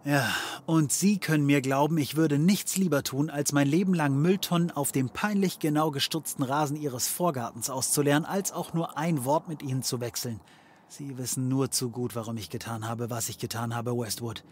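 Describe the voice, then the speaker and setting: A man's voice: mid-range voice, normal speed, darkish tone, natural voice. Guy playing snarky private eye in an audio drama, mid voice, flippant and theatrical, faint hiss. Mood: bitter sarcasm. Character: sarcastic, cynical male private detective, ex-cop. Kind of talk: comedy audio drama acting.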